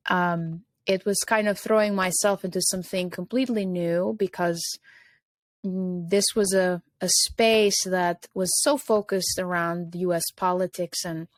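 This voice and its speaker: A woman's voice: fairly low pitch, steady tone, unhurried, dry recording. A middle-aged woman from Albania, a guest in an interview, speaking reflectively with many fillers.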